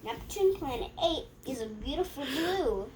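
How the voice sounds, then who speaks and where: A young girl reading a rhyming picture book aloud in a halting way, stumbling and correcting herself. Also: high-pitched, expressive, background noise. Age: child.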